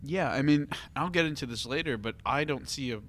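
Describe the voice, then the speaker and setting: A man's voice: mid-range voice, brisk, a bit bright. A man hosting a casual podcast discussion, talking in an emphatic, questioning way. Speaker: podcast host. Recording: noticeable background room noise.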